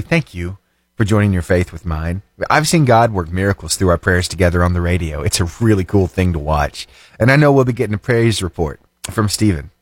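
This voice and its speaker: Male radio morning-show host, lively pitch, dry close studio sound, fervent then chatty. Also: no echo. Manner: animated, fervent praying then chatty and upbeat. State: slightly itchy throat, voice lower than usual. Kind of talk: prayer and casual radio chat. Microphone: studio microphone.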